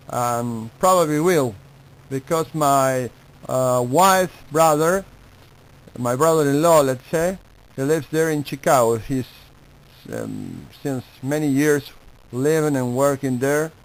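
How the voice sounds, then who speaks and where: A man with an Argentine Spanish accent chatting on ham radio in polite, halting non-native English. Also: medium pitch, rough recording. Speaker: amateur radio operator. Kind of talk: casual ham radio chat.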